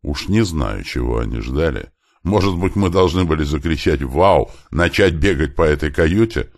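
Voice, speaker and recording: A man narrates an audiobook story in an extremely deep voice with a gravelly creak. His intonation moves widely as he reads first-person fiction, lightly voicing bits of dialogue along the way. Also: lively intonation, normal speed.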